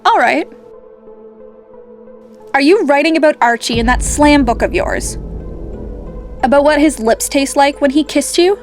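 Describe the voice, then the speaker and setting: A woman's voice: high voice, a little slow, long pauses. An actress playing a sarcastic, suspicious teenage girl who needles her best friend in a radio drama. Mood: accusing. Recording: music under the dialogue.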